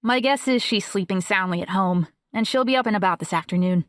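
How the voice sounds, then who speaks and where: A woman's voice: medium pitch, a little fast, dry recording, clean studio sound, natural voice. A young woman playing a self-assured, talkative friend who reassures a worried parent in a fluent, matter-of-fact way in an audiobook. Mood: casual, confiding. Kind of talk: audiobook dialogue acting.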